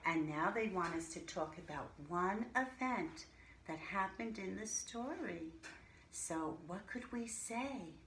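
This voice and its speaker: A woman teacher reading and explaining to young children in simple, deliberate language with questions. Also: mid-range voice, expressive, a bit bright. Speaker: early-grade teacher reading a picture book aloud to children. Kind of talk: storybook read-aloud and children's lesson. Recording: roomy echo, clear background noise.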